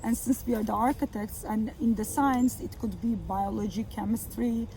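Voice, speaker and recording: A middle-aged woman from Turkey speaks with a mellow, slightly high voice. She talks haltingly, filling gaps with "uh" and "like". Recorded outdoors in a garden with faint background hiss. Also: steady tone, low-quality recording. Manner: hesitant, many fillers. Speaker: architecture professor. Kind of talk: outdoor conversation.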